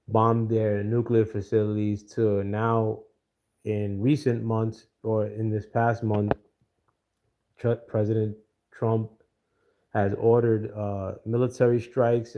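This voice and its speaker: American guy on a livestream, kinda low voice, lots of ums and uhs, stops to say hi to chat. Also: muffled recording. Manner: halting, many fillers, greets viewers by name. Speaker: livestream host. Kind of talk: casual political commentary.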